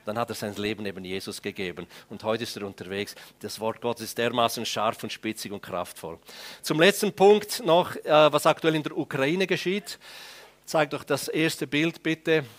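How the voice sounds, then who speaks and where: A man's voice: mid-range voice, normal speed. A man preaching in a church, telling a conversion story and asking for slides. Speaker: preacher presenting a mission report. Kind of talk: sermon with slides.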